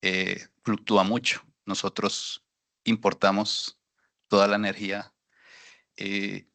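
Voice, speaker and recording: A man's voice: fairly low pitch, flat delivery, slow, creaky, pushed and bright. A man from Costa Rica asking a question at a workshop, hesitant and searching for words. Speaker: workshop participant. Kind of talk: audience question.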